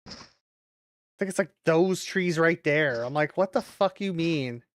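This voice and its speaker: Sweary, animated woman gamer. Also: low voice, measured pace, a little hiss or hum. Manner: sweary, exasperated muttering and exclaiming. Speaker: streamer playing a video game. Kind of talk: casual live gameplay commentary.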